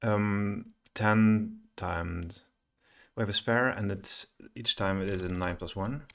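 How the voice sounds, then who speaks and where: A middle-aged man thinking aloud as he presents a coding screencast, halting and muttering to himself at a computer. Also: fairly low pitch, steady tone, vocal fry, a bit bright. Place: small room indoors at home, at a computer. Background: keyboard typing and clicking.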